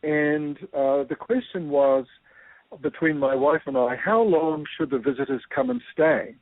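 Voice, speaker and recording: A man's voice: on the high side, a little slow, no room sound. A man with a New Zealand accent, a guest explaining ideas through a personal story, thoughtful and full of fillers. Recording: tinny phone-like line.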